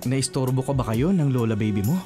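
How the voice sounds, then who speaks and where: Radio drama role: kind young Filipino suitor played by a man, medium pitch, earnest and friendly, music underneath. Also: a little fast, dark tone, close to an everyday voice. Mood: friendly, warm. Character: kind, helpful young male boarder, gently courting. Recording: music bed behind the voice.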